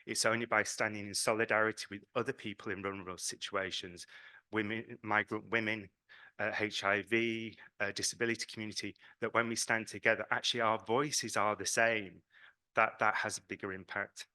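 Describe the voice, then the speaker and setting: British man, bright forceful voice. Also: fairly low pitch, flat delivery, fairly quick. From Britain. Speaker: patient advocacy representative speaking at a conference. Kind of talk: conference presentation, semi-spontaneous.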